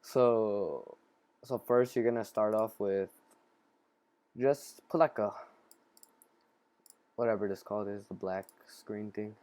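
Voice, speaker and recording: A man casually talking through a software tutorial in a halting, improvised way, step by step. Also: lowish voice, no room sound. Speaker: tutorial host.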